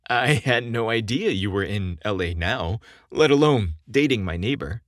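Man playing a shy, animated young man. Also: on the low side, moderate pace, dry recording, studio quality, lightly acted. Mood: feigned casual. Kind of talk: audio story character dialogue.

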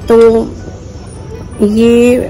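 A woman's voice: fairly high pitch, little pitch movement, very slow, warm tone. Chatty woman vlogging over music. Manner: chatty, enthusiastic, repeats praise while tasting. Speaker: food vlogger. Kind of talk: casual food vlog to camera. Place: small indoor cafe by a window. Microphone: phone held at arm's length.

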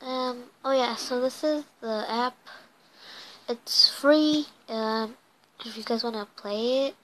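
Hoarse young woman, hesitant game chatter. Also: a little high, takes it slowly, a bit bright, a little hiss or hum, low-quality recording. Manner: hesitant, full of ums and uhs, apologetic. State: losing her voice, hoarse. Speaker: gameplay video host. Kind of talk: casual gameplay commentary.

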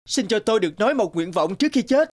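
A man voicing a kind, brave young man in an animated fairy tale, earnest and forthright. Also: high-pitched, quick pace.

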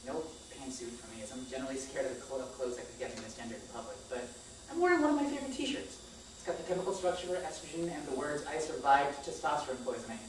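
A woman's voice: a bass voice, lively intonation, fast talker. A woman in her thirties reading a scripted personal story aloud on a small theater stage. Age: 30s. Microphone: far camera microphone. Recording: echoey distant stage recording, faint noise.

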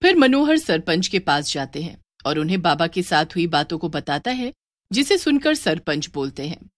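Expressive woman storyteller with lively pitch. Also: moderate pace, no echo. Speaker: narrator. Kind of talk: scripted story narration.

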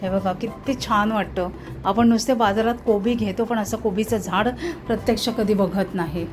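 A woman's voice: mid-range voice, fairly even intonation, moderate pace. Chatty woman vlogger over music. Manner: enthusiastic, points things out to viewers. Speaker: travel vlogger. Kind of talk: casual narration touring a farm. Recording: music playing underneath.

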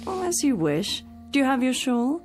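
A woman's voice: sits high, sing-song, moderate pace, soft background music. An actress playing a caring, encouraging woman home helper in a radio drama, coaxing and reassuring. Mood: attentive.

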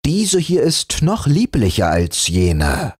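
A man voicing a puzzled father in a fairy tale, speaking in a musing, measured way. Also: mid-range voice, huge pitch swings, darkish tone, husky, no room sound, clean studio sound, pitched above the performer's own voice, heavily acted. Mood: pondering. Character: puzzled middle-aged father, fair-minded.

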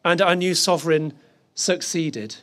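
A man acting as master of ceremonies outdoors, making a formal announcement in a measured, stately manner. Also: a little high, a bit bright. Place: outdoor market place ceremony.

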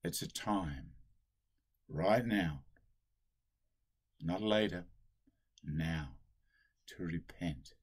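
An older man, a priest, giving a solemn sermon at a slow, deliberate pace, recorded on a webcam in a small room at home. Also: a bass voice, darkish tone, slightly rough.

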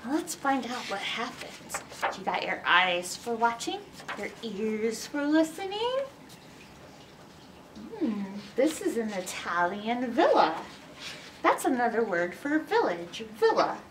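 A middle-aged woman hosting a read-aloud story time for young children, animated and teacherly in manner. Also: fairly high pitch, slow. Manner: animated, exaggerated, teacherly, asking questions. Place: indoors in a library, by bookshelves. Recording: roomy distance from mic, background noise.

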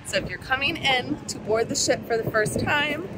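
A woman's voice: high-pitched, moderate pace, heavy background noise. A woman in her thirties from the United States, vlogging to a phone camera on a ship deck and at a port terminal, chatty and explaining each step. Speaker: travel vlogger. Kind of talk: casual vlog to camera. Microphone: phone camera at arm's length.

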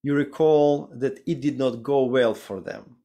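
A man in his thirties with a Ukrainian accent, preaching a sermon and reading scripture slowly and deliberately over a video call from a home study. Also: medium pitch, warm tone. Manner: slow, deliberate reading with careful pauses. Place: home study with bookshelves, indoors. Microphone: video call webcam.

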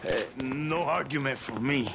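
A man's voice: mid-range voice, unhurried, creaky. An actor playing a defiant, wary, foul-mouthed man under attack in a video game. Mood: defiant, strained. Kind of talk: video game drama acting. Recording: loud gunfire noise behind.

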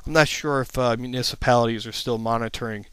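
A man's voice: mid-range voice, steady tone, fast, fairly bright tone, clear, resonant tone, dry recording. A man narrating an informal slide presentation as an instructor, explaining things in a plain-spoken, matter-of-fact way.

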